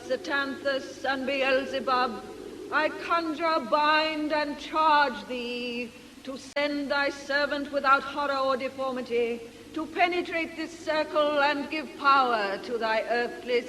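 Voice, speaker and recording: In heavy reverb over clear noise, an imperious witch priestess intones a demonic summoning. She is slow, solemn and commanding, in radio drama style. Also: high-pitched, sharp tone, heavily acted. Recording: long reverb, noisy outdoor ambience.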